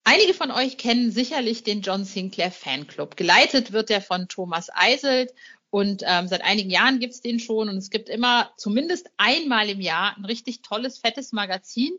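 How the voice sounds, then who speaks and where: A woman's voice: mid-range voice, animated, moderate pace, bright, forceful tone, muffled recording. A woman hosting an interview podcast, talking in an informal and effusive way.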